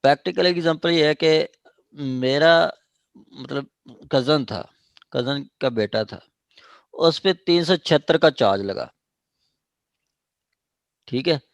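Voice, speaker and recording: A man's voice: medium pitch, no room sound. A man with a Pakistani accent giving an informal law lesson, reading phrases out and then explaining them in plain words. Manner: explanatory, reads out then paraphrases. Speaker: law lecturer.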